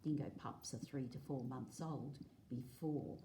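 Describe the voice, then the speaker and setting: A woman reading aloud as a teacher, explaining in a measured way, phrase by phrase. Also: a bass voice, noisy background. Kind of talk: read-aloud lesson of a non-fiction picture book. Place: indoors at a table, filming a book.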